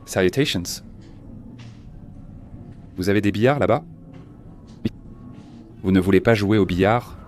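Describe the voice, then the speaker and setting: A man's voice: on the low side, a little mellow. A man vlogging his travels, chatting with locals and thanking them often as he samples food and drink. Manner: chatty, curious, quick to thank people. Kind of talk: casual travel vlog chat. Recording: music playing under the voice. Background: background music.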